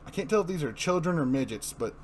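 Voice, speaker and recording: A man's voice: fairly high pitch, expressive, quick pace, a little hiss or hum. A man hosting a casual comic book reading, informal and often correcting himself as he narrates. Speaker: host and reader.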